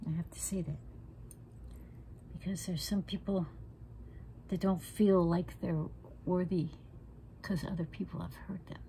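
A woman's voice: fairly low pitch, steady tone, measured pace, pauses often, mellow, a little hiss or hum, rough recording. A woman, chatting frankly and feistily while doing her makeup at home, close to the camera, sometimes dropping to a half-whisper. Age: 60s. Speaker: makeup vlogger. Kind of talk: casual makeup tutorial chat. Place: living room at home, indoors. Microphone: camera close in front.

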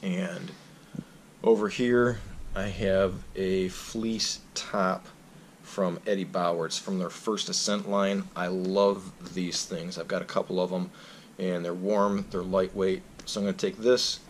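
Low-pitched male vlogger, easygoing gear rundown, faint room hiss. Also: takes it slowly. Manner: relaxed, plain-spoken, frequent fillers. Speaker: backpacking vlogger. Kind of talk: casual gear walkthrough. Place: indoors, carpeted room.

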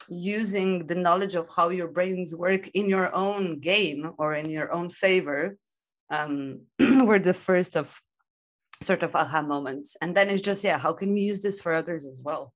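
A woman's voice: fairly low pitch, a little slow. A woman guest on a video call, reflecting on learning and memory, who talks in restarts and laughs easily. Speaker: guest, design thinking. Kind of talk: casual video-call conversation.

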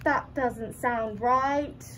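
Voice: medium pitch, fairly even intonation, extremely slow, pushed and bright, a little hiss or hum. A young teen performer playing an earnest, puzzled puppet in a comedy skit. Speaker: student puppeteer in a school drama show.

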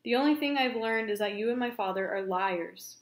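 A woman's voice: a little high, a little fast, close to an everyday voice. A woman voicing an angry, defiant teenage boy in a read-aloud novel, her reading growing heated. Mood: upset. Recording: roomy echo.

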